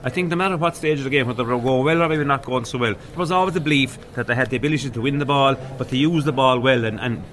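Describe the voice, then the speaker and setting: A man's voice: mid-range voice, rapid-fire, few pauses, a bit bright. An Irish man presenting sports radio, interviewing a manager and wishing him well.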